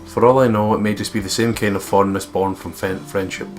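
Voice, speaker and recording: A man's voice: fairly low pitch, fairly even intonation, a little fast. A man reading visual novel narration aloud as a let's play host. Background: game music with guitar.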